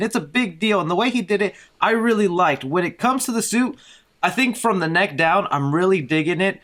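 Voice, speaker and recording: A man's voice: on the high side, fairly bright tone. A man speaking as a podcast guest in a casual, conversational style. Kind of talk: casual movie discussion.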